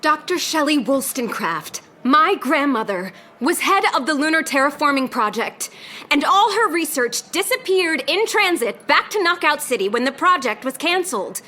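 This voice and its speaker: Angry, high, sharp-voiced accuser. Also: female voice, sing-song, moderate pace, full character voice. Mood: angry, accusing. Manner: accusing, indignant. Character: angry, slight woman demanding justice for her grandmother. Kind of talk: scripted audio drama acting.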